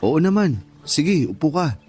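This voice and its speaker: An actor playing a gentle, flattering and sympathetic male friend with a Filipino accent, in conversational radio drama style. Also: a little high, unhurried. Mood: relaxed, welcoming. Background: background music.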